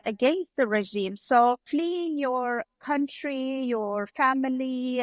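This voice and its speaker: A woman from Iran with a fairly high voice talks as a podcast guest. She explains herself in a thoughtful, reflective way. The sound is dry, with very little room. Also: no room sound, rough recording. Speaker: podcast guest, leadership consultant. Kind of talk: reflective interview talk.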